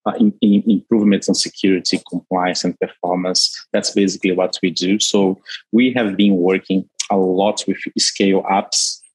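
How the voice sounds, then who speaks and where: A man from Brazil with a Brazilian Portuguese accent, a company CEO answering interview questions haltingly with frequent restarts. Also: low-pitched, level tone, slightly rough, no room sound, low-quality recording. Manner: halting, many restarts and fillers.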